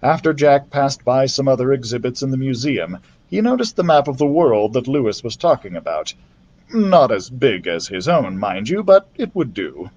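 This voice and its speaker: A man reads a children's story aloud in a friendly, chatty way. His voice moves with lively rises and falls at a middle pitch. It comes through a built-in laptop mic. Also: highs cut off. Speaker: librarian storyteller.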